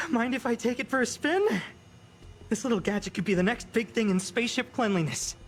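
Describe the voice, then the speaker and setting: An excitable young female space traveler from a video game, played by an actress. Her voice is high and very animated, with a dramatic, expressive delivery. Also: a little fast, a little hiss or hum, close to an everyday voice. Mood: curious, hesitant.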